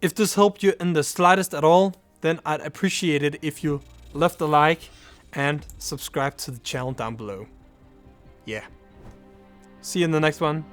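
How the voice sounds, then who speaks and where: A young Danish man, speaking English as a second language, talks straight to his viewers in a fairly high voice. He gives earnest advice in a steady, scripted way. Faint music plays under his voice. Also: normal speed, smooth. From Denmark. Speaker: YouTuber. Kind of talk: scripted vlog talk to camera.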